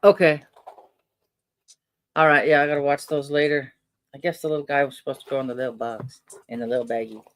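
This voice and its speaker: A middle-aged man with a soft voice, chatting casually while he unpacks and crafts. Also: mid-range voice, fairly even intonation, a little fast, pauses often. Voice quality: soft-spoken. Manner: rambling, chatty, many fillers and restarts. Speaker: vlogger unboxing and crafting.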